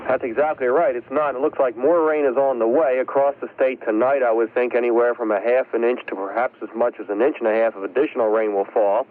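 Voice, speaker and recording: Male weather forecaster, mid pitch, explaining off the cuff, tinny phone-line sound on vintage radio. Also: fairly even intonation, fast, hardly any pauses, a little hiss or hum. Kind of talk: unscripted live forecast interview. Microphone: live phone line.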